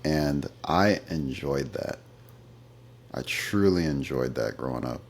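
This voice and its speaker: A man with a very low, deep voice, answering interview questions at an easy conversational pace. He tells his stories thoughtfully, stopping now and then with fillers as he gathers his thoughts. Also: lively intonation, takes it slowly, a little hiss or hum. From United States. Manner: thoughtful storytelling, occasional fillers.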